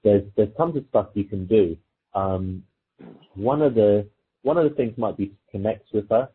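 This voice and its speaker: A man's voice: fairly low pitch, moderate pace, slightly rough. A man working as a dating coach, giving informal, conversational advice and explaining his points through example exchanges. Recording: muffled phone-like audio, thin and lo-fi.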